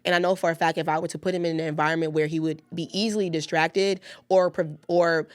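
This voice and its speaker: A woman's voice: low-pitched, fast, dry recording. Emphatic woman in her thirties, dry close sound. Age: 30s. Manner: animated, emphatic, slangy storytelling. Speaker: guest. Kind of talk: casual podcast conversation.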